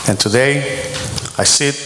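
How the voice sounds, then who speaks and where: A middle-aged man giving a measured, earnest opening statement as a mayoral candidate at a school debate. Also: mid-range voice, background noise. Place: school auditorium. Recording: roomy hall with long reverb.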